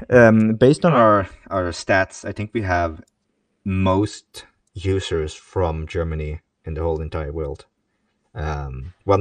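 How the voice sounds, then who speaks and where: Young Norwegian-accented man, low, very lively voice, playful co-host, dry sound a little away from the mic. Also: creaky, dry recording, far from the mic. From Norway. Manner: playful, laughing, teasing. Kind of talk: casual video call chat.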